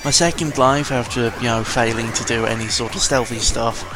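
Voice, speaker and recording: A man's voice: mid-range voice, steady tone, normal speed. A British man giving casual, rambling game commentary, digressive and sweary, often correcting himself as he goes. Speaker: gaming vlogger. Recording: music under the voice. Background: music.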